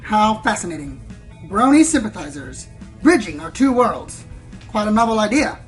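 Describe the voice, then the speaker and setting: A woman's voice: medium pitch, very animated, moderate pace, lightly acted, low-quality recording. A woman playing a probing, smug female pony news reporter in a radio play, with a dramatic, insinuating delivery. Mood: smug, challenging. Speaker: interviewer. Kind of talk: radio play acting, theatrical interview. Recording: music behind the voice.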